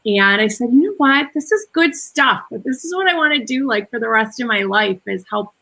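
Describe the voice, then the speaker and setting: A woman in her thirties with a clear Wisconsin accent talks over a video call. Her voice is bright and forward, and she explains her work in long, upbeat run-on sentences. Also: on the high side, lively intonation, moderate pace. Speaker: guest, productivity coach. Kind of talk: casual video chat.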